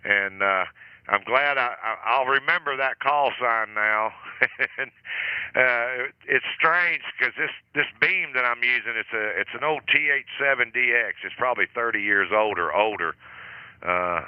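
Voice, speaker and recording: A man acting as net control on an amateur radio net, chatting in a relaxed, friendly way and chuckling now and then. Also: mid-range voice, a little slow, smooth, dry recording, dull audio.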